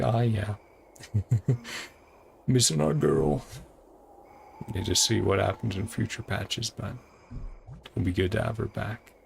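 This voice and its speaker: A man's lowish, very gravelly voice talks in a casual, rambling streamer style. He sounds tired and hesitant, trailing through his thoughts informally, with prominent music playing behind him. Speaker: game streamer. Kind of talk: casual stream outro chat.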